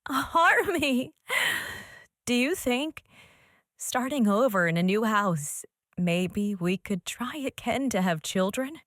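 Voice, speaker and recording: A gentle, hopeful young wife from a comic book drama. She speaks slowly and affectionately, her sweet voice rising and falling with feeling. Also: a little high, expressive, no echo, clean studio sound.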